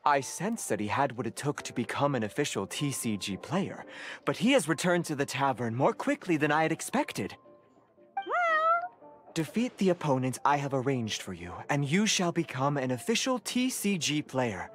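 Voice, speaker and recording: A man's voice: medium pitch, very animated, moderate pace, music in the background, natural voice. A man voicing a dignified male cat who judges card games in a video game, speaking in a formal, stately way. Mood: formal, expectant. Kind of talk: video game character acting.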